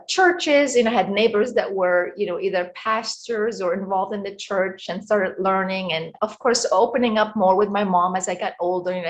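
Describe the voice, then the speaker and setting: A middle-aged woman with an Egyptian accent talks as a guest on an interview show. She speaks in a reflective, unhurried way, pausing often and filling gaps with small hesitations, in a voice of ordinary pitch. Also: rough recording. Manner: reflective, halting with many fillers. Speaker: guest, wellness coach. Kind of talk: casual interview talk.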